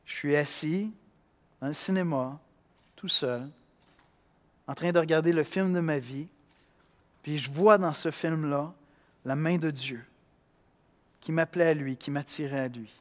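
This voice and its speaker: A man from Quebec with a Québécois accent, preaching a sermon in an animated way, acting out voices and swinging between loud and hushed. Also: on the high side, a little slow, pauses often, long reverb tail. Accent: Québécois French. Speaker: pastor.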